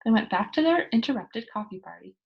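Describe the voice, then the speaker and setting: A woman reading a children's story aloud in an expressive storytelling style. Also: a little high, fairly quick, vocal fry, breathy edge, highs cut off. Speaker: children's book reader.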